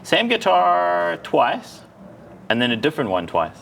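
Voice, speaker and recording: Gravelly South African interviewer. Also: male voice, a little high, huge pitch swings, fairly bright tone, a little hiss or hum. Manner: enthusiastic, quick to laugh. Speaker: interviewer for a music store. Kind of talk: casual interview chat.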